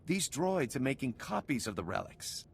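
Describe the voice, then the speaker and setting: Creaky, cynical smuggler. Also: male voice, mid-range voice, very animated, normal speed, slight background noise. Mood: smug, scornful. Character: greedy cynical male smuggler turned counterfeiter. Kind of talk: video game dialogue.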